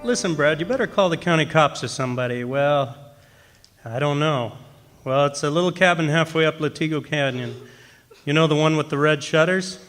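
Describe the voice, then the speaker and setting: A man acting in a radio play as a folksy, baffled forest ranger, talking haltingly in colloquial phrases. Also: fairly high pitch, fairly bright tone, natural voice. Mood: businesslike, uneasy. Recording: echoey hall with background noise.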